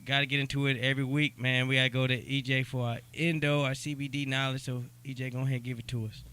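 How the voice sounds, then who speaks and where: A man's voice: mid-range voice, level tone, fast, bright, forceful tone, clean tone. An American man hosting a podcast in a casual chat style, with slangy, informal talk aimed straight at the listeners. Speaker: podcast host.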